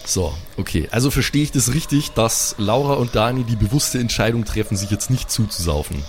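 A man's voice: mid-range voice, expressive, fairly quick, slight background noise. A man improvising narration as the game master of a tabletop audio play, addressing his players casually.

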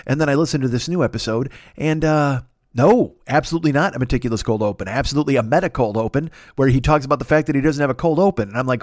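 A man's voice: medium pitch, no room sound. A talkative man delivers a comic podcast monologue in a mid-range voice. He wanders off on tangents, swears freely and pokes fun at himself. The sound is dry, with no room to it. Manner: loud-mouthed, talkative, digressive; profane, self-deprecating. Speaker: podcast host. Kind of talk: rambling comic monologue with sponsor plugs.

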